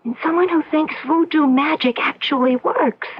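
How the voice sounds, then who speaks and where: An actress playing an anxious, shaken wife in an old-time radio mystery drama. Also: female voice, high voice, normal speed, close to an everyday voice. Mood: worried. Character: anxious, shaken wife, affectionate toward her husband. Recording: dull old radio recording.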